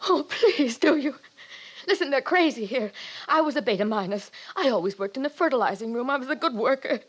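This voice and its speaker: A woman's voice: high voice, expressive, brisk. An actress playing a desperate, pitiful middle-aged outcast mother in a 1950s radio drama, tearful, pleading and rambling.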